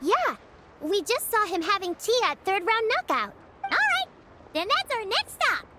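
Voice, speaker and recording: A woman voicing a cute, excitable, curious little girl character in a video game, with a childlike, exclamatory delivery. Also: very high-pitched, unhurried, noisy background, exaggerated voice. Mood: eager. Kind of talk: animated video game dialogue. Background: music.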